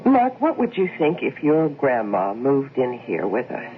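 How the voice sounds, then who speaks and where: A woman's voice: very deep voice, huge pitch swings, a little slow, faint music behind, full character voice. A woman playing an anxious, insistent housewife in a radio drama, homely and fretful in manner. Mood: careful. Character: anxious, insistent housewife, a devoted mother and daughter. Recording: tinny, noisy old radio recording.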